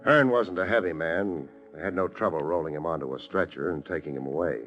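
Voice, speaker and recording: An actor playing a wry, hard-boiled private detective with an American accent, in a dry, laconic 1950s radio noir style. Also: male voice, low-pitched, a little fast, highs cut off, natural voice. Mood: weary, sardonic. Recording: vintage radio recording, music underneath.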